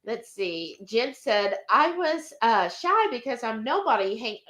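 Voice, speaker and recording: A woman's voice: fairly high pitch, big pitch swings, fairly bright tone. A woman hosting a live cooking stream, chatting casually and reading viewer comments aloud in an encouraging tone. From Texas. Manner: chatty and encouraging, with drawn-out asides.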